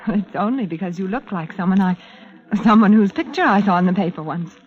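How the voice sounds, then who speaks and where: A woman's voice: mid-range voice, expressive, fairly quick, lightly acted. A woman playing a polite, persuasive young lady in 1940s radio drama style, on an old lo-fi recording. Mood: earnest, coaxing. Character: poised, coaxing young woman working as go-between.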